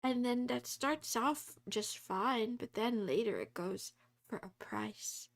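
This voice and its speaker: A woman streams herself coding and chats the whole time in a rambling way, full of fillers. Her voice sits a little high and she takes her time. She answers her viewers and laughs often. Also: slow, creaky. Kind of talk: casual live-stream chat while coding.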